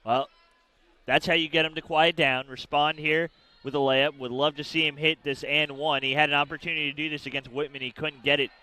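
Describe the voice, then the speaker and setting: A man adds color commentary to a basketball broadcast, breaking down plays in loose, conversational sentences. He talks quickly in a bright, fairly high voice. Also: little pitch movement, smooth. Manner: conversational analysis with fillers.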